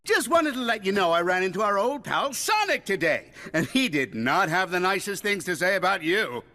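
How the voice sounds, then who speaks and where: An actor voicing a vain, scheming male villain in a cartoon, pompous, excitable and theatrical. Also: high voice, moderate pace, no room sound, full character voice. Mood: sly, wheedling. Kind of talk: animated series voice acting.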